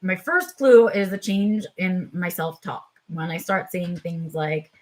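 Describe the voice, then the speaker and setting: A woman hosting her own live vlog in a casual, chatty way, her voice a little raspy and tired, with frequent ums as she talks straight to her viewers. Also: on the low side, a little slow, a little mellow. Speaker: vlog host. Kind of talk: casual unscripted live chat.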